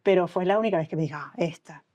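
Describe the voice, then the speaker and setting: Uruguayan woman, middle-aged, anesthesiologist guest; quick, warm delivery, acts out quotes; dull podcast sound. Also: fairly low pitch. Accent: Uruguayan Spanish. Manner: animated storytelling, acts out quoted dialogue. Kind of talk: conversational interview.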